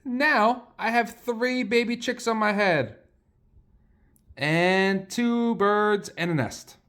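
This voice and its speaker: A man voicing an anxious, excitable picture-book elephant who exclaims and asks questions in disbelief. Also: sits high, slow pace, pitched above the performer's own voice. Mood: exasperated.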